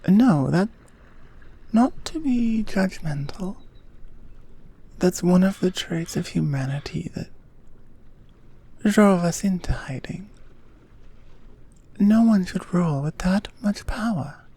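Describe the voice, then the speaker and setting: Breathy, slow-spoken Amazonian queen, acted by a woman. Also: lowish voice, animated, full character voice. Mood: firm, principled. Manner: soft, breathy, measured, half-whispered delivery. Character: gentle, earnest Amazonian queen courting a guest. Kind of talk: roleplay ASMR, intimate second-person audio drama.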